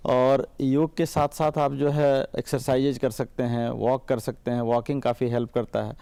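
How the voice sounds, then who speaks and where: A man with a soft, dark-toned voice speaks as a guest doctor. The sound is dry and close, and he gives practical health advice in a discussion. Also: mid-range voice, flat delivery, normal speed, creaky, clean tone, dry recording. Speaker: doctor, studio guest expert. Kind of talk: medical advice on a panel show.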